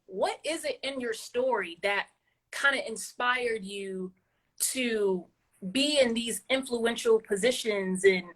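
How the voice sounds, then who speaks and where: A woman hosting a casual interview, her voice sitting fairly high. She encourages her guest warmly and often repeats a word as she works out her next thought. She sounds like she is on a video call. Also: slow pace, poor audio. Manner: encouraging, repeats words while thinking. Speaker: podcast host.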